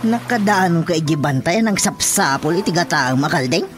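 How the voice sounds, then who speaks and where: A man's voice: on the high side, animated, moderate pace, close to an everyday voice. A man plays an agreeable village watchman with an Ilocano accent. He chats along in a comic radio drama style, with some music behind his voice. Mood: agreeable, chatty. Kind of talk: Filipino radio drama comedy acting.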